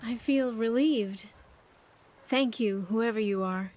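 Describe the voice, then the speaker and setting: A woman's voice: on the high side, takes it slowly, a little mellow. A woman playing an earnest, grateful young survivor companion in a video game, speaking in careful, formal phrases. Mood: relieved, grateful. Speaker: companion character in a game mod. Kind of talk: scripted game dialogue.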